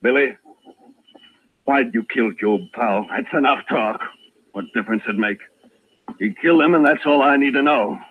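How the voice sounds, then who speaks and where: An actor playing a hard, vengeful Western rancher, curt and defiant, in an old-time radio western. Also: male voice, medium pitch, normal speed, pauses often, breathy edge, phone-quality sound, natural voice. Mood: cold menace. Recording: thin band-limited old radio recording.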